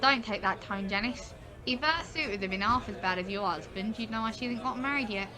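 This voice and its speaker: A woman's voice: a little high, fairly quick, a crowd in the background, close to an everyday voice. Woman playing a snippy, scolding village gossip. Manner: scolding, colloquial. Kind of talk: comedic audio drama acting.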